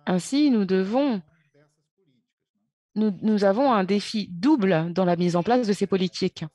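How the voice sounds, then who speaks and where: A woman giving a simultaneous interpretation of conference speeches, halting, with pauses and self-corrections. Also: medium pitch, dark tone, no room sound.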